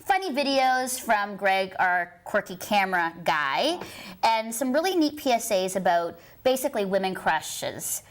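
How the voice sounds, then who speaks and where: A woman's voice: mid-range voice, very animated, unhurried. Canadian lady talk show host, super enthusiastic, casual chatty panel style. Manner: effusive, enthusiastic.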